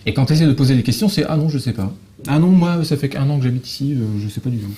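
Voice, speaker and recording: A man's voice: mid-range voice, lively intonation, fast talker, mellow. A man speaking casually in an interview as a guest, telling stories in colloquial speech. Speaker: guest publisher of visual novels.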